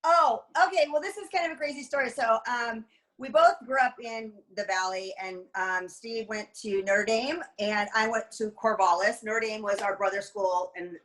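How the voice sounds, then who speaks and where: Bubbly high-voiced woman in her fifties. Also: moderate pace, a bit bright, rough recording. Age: 50s. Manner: bubbly, laughing, reminiscing. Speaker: co-host. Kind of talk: casual video call chat. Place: home room with bookshelves. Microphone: video call.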